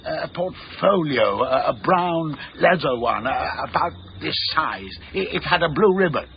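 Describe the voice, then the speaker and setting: An officious personal assistant in a radio play, voice on the high side and very animated. He is formal, precise and imperious, with an anxious insistence. The recording is a little dull. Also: measured pace, vocal fry. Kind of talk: radio drama acting. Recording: dull radio recording with some background noise.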